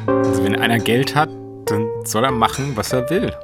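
A man talking informally and reflectively, halting and letting thoughts trail as he goes. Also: mid-range voice. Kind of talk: informal reflective interview talk. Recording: prominent plucked guitar music bed. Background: plucked guitar music.

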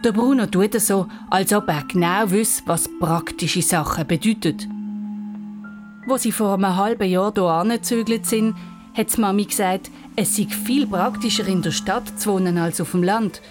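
A woman's voice: medium pitch, moderate pace, music in the background. A woman narrating a scripted children's story in Swiss German as the storyteller.

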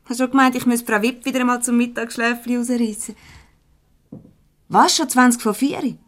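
An actress with a Swiss accent playing a chatty, easily exasperated young secretary in a radio comedy. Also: female voice, a little high, steady tone, normal speed, a bit bright, close to an everyday voice. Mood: bubbly, chatty.

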